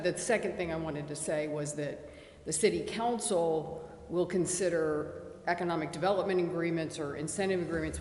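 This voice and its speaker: A woman consultant explaining tax funding steps clearly at a city committee meeting. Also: low-pitched, a little mellow, slight background noise. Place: city council chamber. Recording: echoey council chamber from far off.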